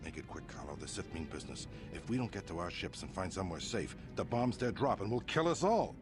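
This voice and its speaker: An actor playing a smug, imperious crime boss in a video game, with a pompous, suavely threatening manner. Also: male voice, sits low, animated, fast, over music. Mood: alarmed, angry. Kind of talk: dramatic video game acting.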